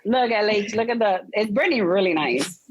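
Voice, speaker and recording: A woman's voice: medium pitch, lively intonation, normal speed, muffled recording. A woman who is a guest on a podcast, joking and swearing playfully in casual chat.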